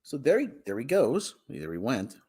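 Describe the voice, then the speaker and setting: A man's voice: moderate pace, slightly rough. A man hosting a casual live-stream podcast, chatting loosely before a reading. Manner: lively, chatty, talks baby talk to his cat in a high voice. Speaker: podcast host.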